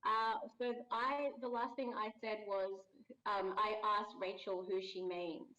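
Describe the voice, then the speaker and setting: A woman's voice: medium pitch, fairly even intonation, measured pace, bright, forceful tone, muffled recording. Woman, podcast guest on a video call; friendly, laughs often, chatty with fillers. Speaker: voice actor guest. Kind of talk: casual podcast interview. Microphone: remote video call.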